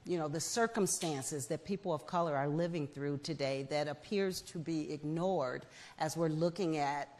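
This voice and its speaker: A woman in her fifties, a foundation head, speaking in a measured, earnest way as a guest on an indoor festival stage with a lapel mic. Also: low voice, darkish tone. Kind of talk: onstage festival conversation.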